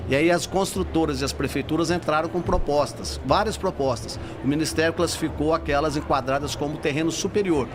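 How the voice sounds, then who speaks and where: A man's voice: on the high side, a little fast, hardly any pauses. A middle-aged Brazilian man speaking enthusiastically and explaining things in a conversational interview. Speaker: public bank housing executive, podcast guest. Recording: busy trade fair background noise.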